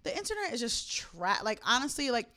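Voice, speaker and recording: A young woman co-hosting a podcast, chatting casually, cursing freely and jumping up high when outraged. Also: a little fast. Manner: animated, swears often, spikes high when outraged. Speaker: podcast co-host. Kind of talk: casual chat.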